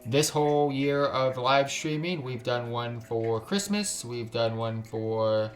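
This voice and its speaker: A man's voice: medium pitch, slow pace. A man live-streaming a game, chatting casually in a joking, sweary, slangy way and reading text aloud with stumbles.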